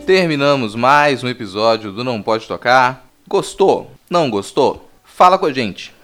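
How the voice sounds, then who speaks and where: A man's voice: medium pitch, expressive, measured pace, music in the background. Brazilian man, conversational podcast host. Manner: informal, conversational. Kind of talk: podcast sign-off and casual chat.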